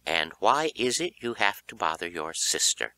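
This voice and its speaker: A man's voice: on the low side, big pitch swings, pushed and bright, exaggerated voice. A man voicing a stern, exasperated mother in an audiobook, scolding and demanding. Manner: slow, demanding. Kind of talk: acted audiobook dialogue.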